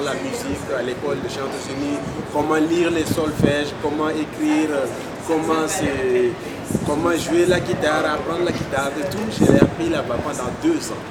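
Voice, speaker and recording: Young man from Chad, hesitant answers. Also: a little high. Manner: hesitant, frequent fillers. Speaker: guest musician. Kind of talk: interview answers. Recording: loud background noise.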